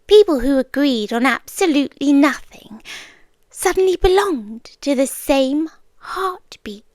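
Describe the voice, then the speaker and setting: A British woman voicing an ancient, otherworldly, obsessively devoted female being in a hushed, deliberate ASMR roleplay, half whispering close to the microphone. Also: sits high, animated, no echo. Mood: wistful fascination. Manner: slow, deliberate, hushed, with dramatic pauses.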